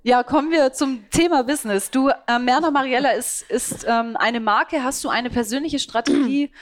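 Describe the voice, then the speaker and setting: A woman's voice: sits high, normal speed. A woman interviewing a guest, asking long, winding questions with some hesitation.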